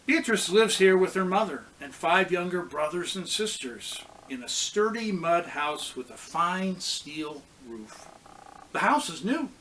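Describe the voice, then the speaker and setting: A man, a pastor, reading a children's story aloud in a deliberate, expressive way with pauses. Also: sits high, a little hiss or hum, rough recording.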